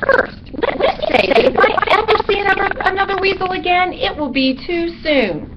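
Woman as dramatic little male bat, storybook animal, rambling, aggrieved, very animated, slightly muffled recording. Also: very high-pitched, moderate pace, voice pushed up. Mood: blustering, breezy. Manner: theatrical, rambling, comically aggrieved. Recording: dull recording with background noise.

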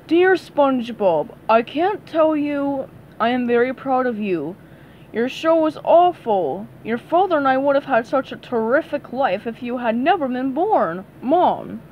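A woman reading aloud and voicing a slow-witted, easygoing male starfish, close to her own voice. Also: sits high, lively intonation, dull audio, slight background noise. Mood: plodding.

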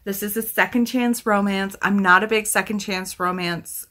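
A woman's voice: medium pitch, fairly even intonation, moderate pace, sharp tone. A woman in her thirties chatting casually and effusively as a book vlogger, her throat a little scratchy from talking. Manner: enthusiastic, effusive. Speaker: book reviewer, video host. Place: small indoor room at home with bookshelf.

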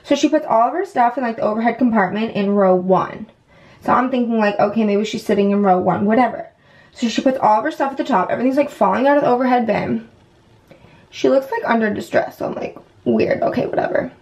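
A woman's voice: fairly high pitch, fast talker, a little mellow, poor audio. A woman in her twenties chatting casually to camera like a vlogger, telling a story informally and peppering it with "like" and "whatever.". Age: 20s. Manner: chatty, heavy use of "like" and "whatever". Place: indoors at home, bathroom. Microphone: camera at about arm's length.

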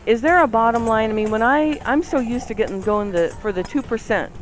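A woman's voice: on the high side, fairly quick. A woman co-hosting a radio show in a casual, bantering style, playful and theatrical, given to exclamations. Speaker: radio co-host. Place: radio studio. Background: music.

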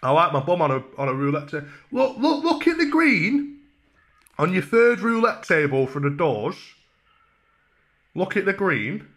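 A man in his twenties with a Northern English accent, talking casually and mock-earnestly as a deadpan, hesitant prank caller at a desk microphone. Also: high-pitched, moderate pace. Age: 20s. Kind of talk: casual prank phone call. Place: small room at home. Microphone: desk microphone on a stand.

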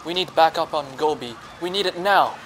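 A man voicing a police radio dispatcher making an urgent, rapid alarm call. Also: a little high, background noise, pitched above the performer's own voice, lightly acted.

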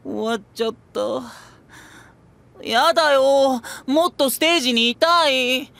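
High, boyish young male idol. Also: measured pace, vocal fry, bright, forceful tone, exaggerated voice. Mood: wistful. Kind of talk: Japanese idol voice drama.

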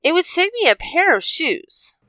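A woman's voice: extremely high pitch, very animated, normal speed, pushed and bright, no room sound, heavily acted. A woman playing a weary, wistful young-hero ranger in an audio drama. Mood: dry. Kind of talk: audio drama character acting.

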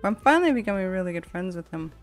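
A woman's voice: medium pitch, sing-song, quick pace. A woman giving casual, chatty, rambling let's play commentary as a gaming video host. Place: at home, window open a crack, fan running. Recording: game music behind the voice. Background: game music.

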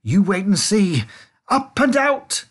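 A man voicing an excitable, eccentric gentleman factory owner in a storybook reading, gushing and repeating his exclamations. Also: on the high side, normal speed, breathy edge. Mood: eager, excited.

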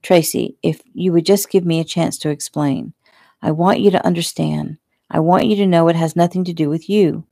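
A woman narrator plays a weary young man in a romance audiobook. The voice is low and mellow with a creaky edge, earnest, halting and placating. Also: fairly even intonation, no echo, studio quality.

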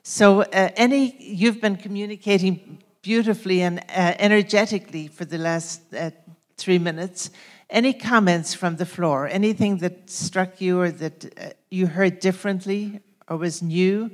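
A woman in her sixties giving a workshop presentation, calmly posing questions to the audience with gentle fillers. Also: fairly low pitch, moderate pace. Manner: calm, gentle prompting with soft fillers. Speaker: conference presenter. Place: conference hall stage at a podium. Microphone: handheld mic.

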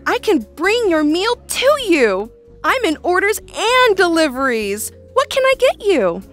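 A rigidly polite waitress, played by a woman in a comedy audio sketch. Her voice is high and her delivery slow, matter-of-fact customer service, over faint music. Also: sing-song, fairly bright tone, full character voice. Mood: brisk, polite. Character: unflappably courteous, rigidly by-the-book female waitress.

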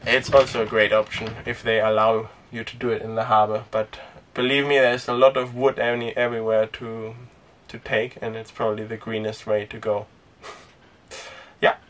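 A young man who grew up speaking Danish, chatting casually to camera inside a small sailboat, relaxed and rambling with lots of fillers. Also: lowish voice, normal speed, far from the mic. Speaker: sailing vlogger. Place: inside a small sailboat cabin and cockpit tent.

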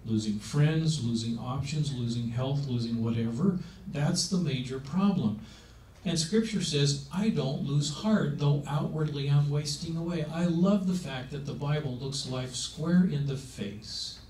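A man's voice: on the high side, unhurried, a little mellow. A seventy-year-old man giving an emphatic, conversational sermon-style talk on aging into a handheld mic in a meeting room, asking his listeners rhetorical questions. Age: 70s. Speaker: speaker on aging. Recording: roomy sound from a distance with faint noise.

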